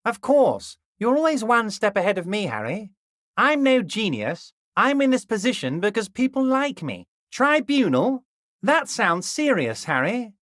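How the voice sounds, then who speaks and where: Wily old union boss for a video game, folksy n smug, real sing-song delivery, super dry recording. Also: male voice, high voice, a bit bright, no echo. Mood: knowing, indignant, then mock-worried, sly. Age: older. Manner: folksy, insinuating, mock-modest, drawn-out emphasis. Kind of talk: video game character dialogue.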